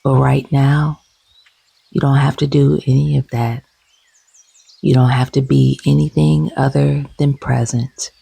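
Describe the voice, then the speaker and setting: A woman leading a guided meditation gently and soothingly, with soft, breathy, husky tones and long unhurried pauses. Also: a bass voice, little pitch movement, no echo.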